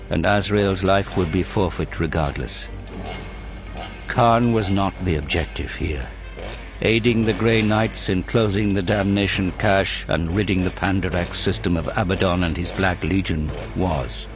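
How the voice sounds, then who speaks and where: Deep-voiced man narrating over music. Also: unhurried. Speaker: audio drama narrator. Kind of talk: dramatic scripted narration of a war story. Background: prominent dramatic music.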